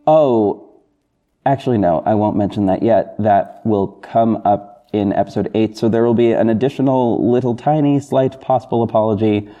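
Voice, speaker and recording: A man hosting a podcast, talking casually and apologetically to listeners in a halting, self-correcting, rambling way. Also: lowish voice. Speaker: podcast host and audio drama creator. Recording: dull lo-fi recording with music bed. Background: soft guitar backing music.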